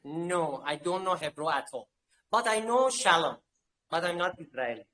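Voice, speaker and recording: A man's voice: on the high side, lively intonation, normal speed, bright, forceful tone. Hesitant man full of filler sounds. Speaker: crypto project representative. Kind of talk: live online AMA answers.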